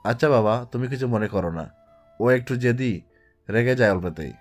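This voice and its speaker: A man playing a calm, placating middle-aged father in an audio drama. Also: mid-range voice, moderate pace, soft background music, close to an everyday voice. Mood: apologetic, kindly. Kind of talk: Bengali audio drama acting.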